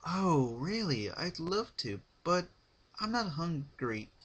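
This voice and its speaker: A man's voice: a little high, very animated, slow, a bit bright, breathy edge, voice pushed up, heavily acted. A man voicing a refined, ladylike female unicorn pony in a cartoon fan fiction reading, genteel, affected and a little hesitant. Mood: polite reluctance.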